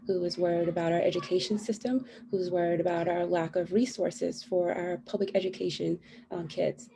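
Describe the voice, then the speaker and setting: Casting: self-assured woman, warm low voice, kids faintly heard behind, video call. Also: creaky, slight background noise. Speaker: school council candidate. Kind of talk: candidate forum answer over video call. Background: children fighting.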